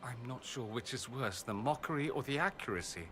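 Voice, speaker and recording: An actor playing a witty, exasperated companion with dry sarcasm, in video game banter. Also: male voice, mid-range voice, expressive, brisk, fairly bright tone, close to an everyday voice. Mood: sardonic. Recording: noisy outdoor-like ambience.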